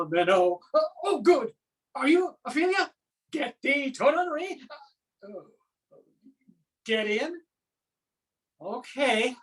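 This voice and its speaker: A woman's voice: fairly high pitch, recorded from a distance. A woman playing a flustered accountant stranded onstage as a Shakespearean actor, rambling nervously in a theatrical monologue. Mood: frantic. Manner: rambling, nervous, stammering asides.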